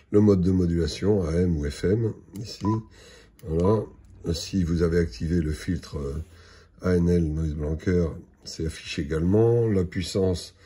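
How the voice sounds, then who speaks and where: Deep mellow guy showing off a gadget, halting and matter-of-fact, noisy muffled recording. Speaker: product presenter. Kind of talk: unscripted product demonstration.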